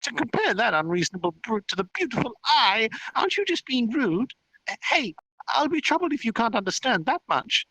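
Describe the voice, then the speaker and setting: A man's voice: very high voice, brisk, breathy edge, no room sound, voice pushed up, exaggerated voice, low-quality recording. A man voicing a tiny, childish fairy girl from a storybook, haughty, boastful and indignant. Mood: haughty offended. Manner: indignant, boastful, babbling excitedly. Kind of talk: hobby light-novel read-aloud character voice.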